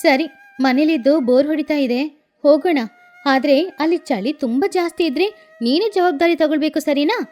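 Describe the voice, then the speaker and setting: A woman's voice: sits high, normal speed, a little mellow, natural voice. A woman voicing a sensible female sparrow who questions and scolds in a cartoon story. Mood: cautious. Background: background music.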